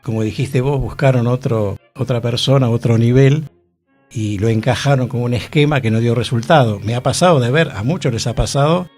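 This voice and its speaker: A man's voice: mid-range voice, brisk, darkish tone, faint music behind. A man with an Argentine accent, hosting a radio talk show and chatting conversationally about theater. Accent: Argentine Spanish. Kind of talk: casual radio discussion. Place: radio studio.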